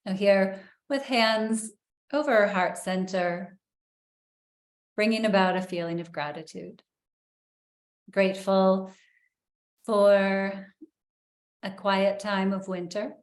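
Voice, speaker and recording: A woman leading a guided breathing meditation in a soft, soothing way, with long pauses. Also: mid-range voice, steady tone, roomy sound.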